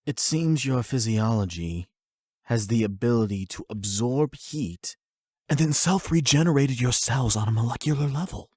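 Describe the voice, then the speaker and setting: A man acting as a calm, patient doctor in an audio roleplay, speaking in a formal, measured and clinical manner. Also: medium pitch, breathy edge, no room sound, studio quality. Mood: clinical, explanatory. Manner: measured, polite, explanatory.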